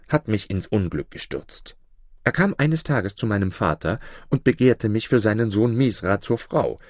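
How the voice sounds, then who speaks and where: A man's voice: medium pitch, darkish tone, no room sound, studio quality. A man voicing an enchanted princess trapped as an owl, speaking plaintively and earnestly. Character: enchanted princess turned owl, young, sorrowful.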